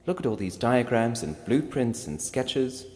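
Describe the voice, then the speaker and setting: A man voicing a gentle, curious and sympathetic female visitor in a storybook, soft-hearted and full of wondering questions. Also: medium pitch, very animated, measured pace, big-room sound, voice pushed up. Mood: amazed. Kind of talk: storybook character reading.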